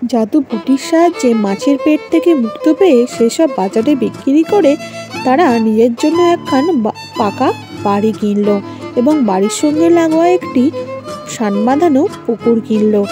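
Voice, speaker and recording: A woman narrator reading a scripted storybook tale for an animation. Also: sits high.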